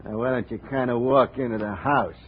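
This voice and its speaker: A man's voice: mid-range voice, fairly quick, close to an everyday voice. A man playing a drawling, mocking gunman. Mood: menacing. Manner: drawling, mock-polite threats. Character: menacing male gunman, slow and mocking. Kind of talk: 1950s radio drama acting. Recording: tinny old radio broadcast, faint hiss.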